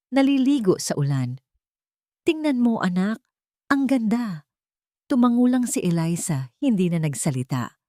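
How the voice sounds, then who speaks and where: A woman's voice: low voice, dark tone, no echo, clean studio sound. A woman narrating a scripted, dramatic audio story.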